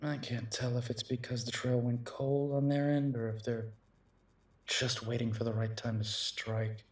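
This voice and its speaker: A man playing a protective, worried werewolf detective boyfriend in an intimate roleplay audio drama, speaking softly and reassuringly with hesitant pauses. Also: medium pitch, little pitch movement, no room sound, lightly acted. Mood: concerned.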